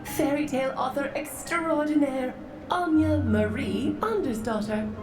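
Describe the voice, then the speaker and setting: A woman's voice: high-pitched, a little slow, roomy sound, music in the background. A woman playing a self-assured, eccentric witch aunt in an anime-style video game, teasing and grandly theatrical. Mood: playful, confident.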